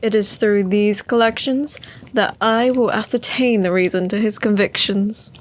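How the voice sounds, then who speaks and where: A woman's voice: medium pitch, steady tone, moderate pace, slight background noise, lightly acted. An actress playing a brooding, secretive woman, delivering an ominous, theatrical monologue in an audio drama. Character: brooding, secretive female narrator hunting a collector's secrets.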